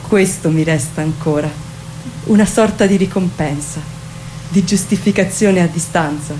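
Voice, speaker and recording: A woman acting an ageless legendary beauty, weary and proud, in a lowish voice. The monologue is theatrical and measured, phrased in deliberate fragments with aloof detachment. Clear background noise sits behind the recording. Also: a little mellow, lightly acted, poor audio. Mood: wistful. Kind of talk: theatrical dramatic monologue, slow literary recitation.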